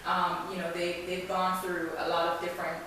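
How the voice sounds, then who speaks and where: A woman's voice: fairly low pitch, completely flat delivery, moderate pace, fairly bright tone. A woman hosting a talk show interview in a conversational way, often saying "you know". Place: indoors, echoey room. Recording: echoey room, distant mic, hiss.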